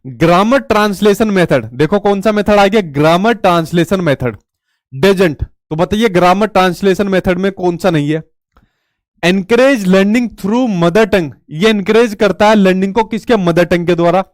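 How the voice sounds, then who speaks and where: A man's voice: sits high, normal speed, dry recording, clean studio sound. A male teacher giving an exam-prep lecture in a chatty way, asking rhetorical questions and telling stories.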